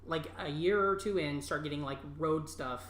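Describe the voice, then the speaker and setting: A man's voice: fairly high pitch, normal speed, a bit bright, slight background noise. Guy in his 30s from southwest iowa, rambly and upbeat, swears a lot, roomy echo. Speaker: comedian guest. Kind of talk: casual podcast chat.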